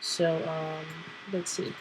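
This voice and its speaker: A woman hosting a casual computer tutorial, nasal and clearing her throat because she is sick, thinking aloud with fillers. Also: sits low, flat delivery, takes it slowly, background noise. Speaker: tutorial host. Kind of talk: casual screen-recorded tutorial.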